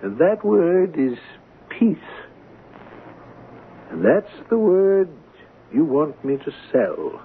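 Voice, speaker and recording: An actor playing a devout, incorruptible art appraiser in a 1970s radio drama, speaking formally and philosophically. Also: male voice, a little high, big pitch swings, takes it slowly, long pauses, full character voice. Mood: solemn, earnest. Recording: noisy, tinny 1970s radio recording.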